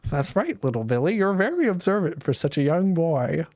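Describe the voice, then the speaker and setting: A man's voice: on the high side, big pitch swings, normal speed, a little mellow, no room sound, studio quality. A man voicing a sly, soul-eating old woman witch in a storybook reading, sweetly menacing and theatrical. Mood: sweetly welcoming.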